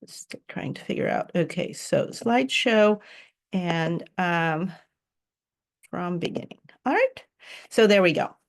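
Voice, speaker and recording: A woman's voice: fairly low pitch, animated, slow. A woman leading a reading group over a Zoom call, talking in a chatty, enthusiastic way with frequent ums and small laughs. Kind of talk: casual Zoom presentation. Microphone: video call.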